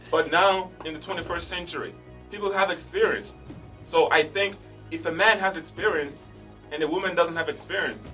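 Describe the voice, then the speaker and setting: A man's voice: a little high, sharp tone. A man from Africa, co-hosting a radio talk show and telling boastful, joking stories while laughing at his own lines. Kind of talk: casual talk radio banter. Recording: slightly distant mic, faint music behind.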